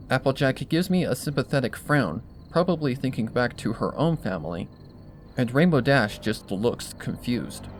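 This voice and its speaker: A man reading a scripted story aloud as narrator, in an expressive style. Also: mid-range voice, a little hiss or hum. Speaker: fan fiction reader.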